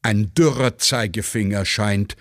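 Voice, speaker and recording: A man giving a scripted dramatic reading as narrator, announcing stage directions tersely and deliberately. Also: fairly low pitch, no echo, studio quality.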